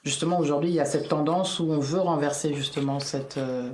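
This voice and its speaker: A man with a fairly high voice speaks hesitantly as a guest, filling pauses often. His voice carries a roomy echo. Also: steady tone, a little mellow, slight background noise. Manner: hesitant, with many fillers. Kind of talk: casual discussion.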